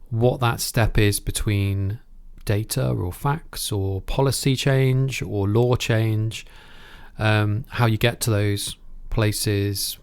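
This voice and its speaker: A man hosting a conversational interview podcast, thinking aloud in a halting way. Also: lowish voice, darkish tone, smooth.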